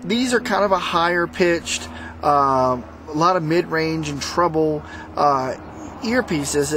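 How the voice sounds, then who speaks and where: A man giving a casual, unscripted product review to viewers in a halting, rambling, conversational way. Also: fairly high pitch, noisy background. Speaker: product reviewer, host of a motorsport video channel.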